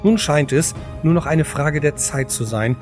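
A man's voice: on the high side, a little slow. A man reading scripted narration as the narrator of an audio drama. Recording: voice over background music. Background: music.

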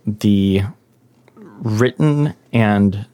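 Low-voiced man giving calm advice. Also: very slow, clear, resonant tone. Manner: thoughtful, explanatory. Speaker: guest, language learning expert. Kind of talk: interview answers.

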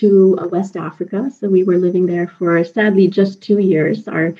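A woman from Pakistan in her thirties, a native Urdu speaker, telling personal stories in an animated, chatty way as an interview guest. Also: lowish voice, normal speed. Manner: animated, chatty, laughs often. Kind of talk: casual personal storytelling in conversation. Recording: dull lo-fi audio.

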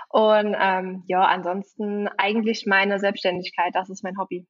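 A woman's voice: mid-range voice, flat delivery, a little slow, dull audio. A woman, a guest in a podcast interview, chatty and giggly, with a bit of a cold. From Germany, Saarland. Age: 20s. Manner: giggly, chatty, many fillers. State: has a cold. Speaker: guest, wedding officiant.

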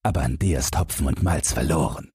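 A man's voice: very deep voice, brisk, a little mellow, husky, no echo, clean studio sound, heavily acted. A man voicing a stern, worried father, admonishing and resigned, in a fairy-tale audiobook. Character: stern, worried father of two grown sons.

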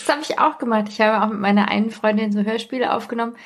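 A woman's voice: fairly high pitch, rapid-fire. A middle-aged woman, talking casually as a podcast host.

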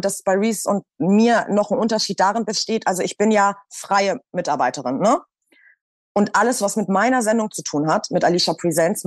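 A woman radio presenter from Hamburg talks in a relaxed roundtable, hammering her points home by repeating them. Her voice sits at an ordinary middle pitch for a woman. The recording is close and dry, with no room sound. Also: dry recording. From Hamburg, Germany. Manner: emphatic, repeats points for stress. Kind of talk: casual roundtable discussion.

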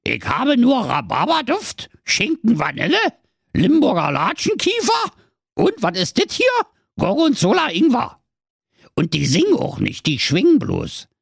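A cranky, scheming little dwarf from a comic fairy tale, as a male narrator puts him on, squeaking high and grumbling. The voice is breathy and husky, recorded close and dry. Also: huge pitch swings, a little slow, dry recording, exaggerated voice. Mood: grumpy. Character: grumpy sly evil gas-station dwarf.

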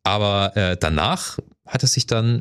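A man hosting a music talk, explaining in a relaxed way and often agreeing. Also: lowish voice, measured pace, clear, resonant tone. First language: German. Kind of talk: conversational discussion.

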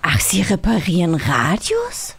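A woman's voice: fairly low pitch, wildly swooping pitch, measured pace, gravelly, husky, voice pushed down, full character voice. A woman voicing a naive, kind elephant in a radio play reading, innocent and slightly puzzled. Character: naive, kind, happy male talking cartoon elephant.

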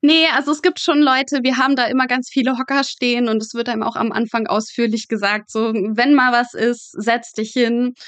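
A young woman with a fairly high voice talks as an interview guest. She recounts her student experiences frankly and vividly, in a matter-of-fact conversational way. The sound is close and dry. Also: steady tone, fairly quick, few pauses, a bit bright, no room sound. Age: 20s.

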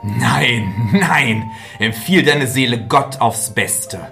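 An actor playing a cruel, stone-hearted knight and husband in a fairy tale, commanding, threatening and deliberate. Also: male voice, medium pitch, animated, vocal fry, pushed and bright, faint music behind, full character voice. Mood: merciless. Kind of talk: dramatised fairy tale acting.

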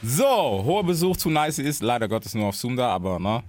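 A man's voice: mid-range voice, sing-song, a little fast, a little mellow, a little hiss or hum. A young man hosting a podcast interview, talking in a loose, laid-back way and dropping English slang words into his chat. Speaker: podcast host.

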